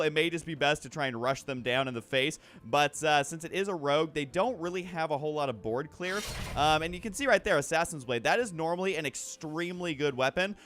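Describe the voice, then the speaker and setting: A man with a slightly high voice talks through his gameplay as host of a gaming video. The delivery is casual and unscripted, wandering along as he works out his thoughts aloud. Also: fairly bright tone. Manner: rambling, thinking aloud. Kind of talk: casual off-the-cuff gameplay commentary.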